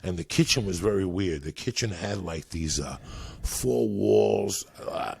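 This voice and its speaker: A man's voice: low-pitched, animated, vocal fry, darkish tone, breathy edge, no room sound. A man in his fifties, born in Cuba and raised around New York, telling stories in a profane, streetwise way while a little stoned. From Cuba, raised in New York and New Jersey. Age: 50s. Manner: profane, streetwise, rapid storytelling. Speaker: guest comedian. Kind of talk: storytelling.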